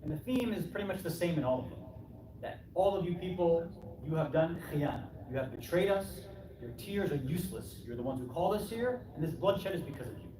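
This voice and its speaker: A man's voice: fairly high pitch, animated, brisk. A man giving a conversational sermon, asking rhetorical questions, often adding "right?" and voicing imagined replies. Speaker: preacher addressing a congregation. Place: Islamic center hall. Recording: echoey hall, distant mic, clearly noisy.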